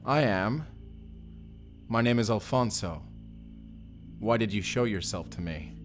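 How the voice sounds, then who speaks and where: A man's voice: lowish voice, animated, measured pace, soft background music. A man playing a mysterious Spanish vampire slayer in an audio drama, wary, terse and commanding. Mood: guarded, interrogating. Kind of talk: cinematic audio roleplay acting.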